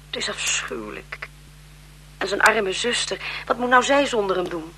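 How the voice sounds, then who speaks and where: A chatty, deferential governess played by a woman in a seventies radio play, with a bright, forward voice. She is polite and eager, and dramatic with news. A faint hiss sits on the old recording. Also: mid-range voice, moderate pace, close to an everyday voice, low-quality recording. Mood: shocked, gossipy. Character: deferential, chatty, gossipy governess to a marquise's children. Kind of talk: 1970s Dutch radio drama acting.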